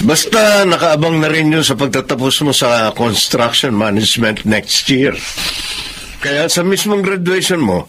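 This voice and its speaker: A man's voice: fairly high pitch, sing-song, measured pace, noisy background. A man playing a generous, responsible eldest brother in a Filipino radio drama. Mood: generous, encouraging.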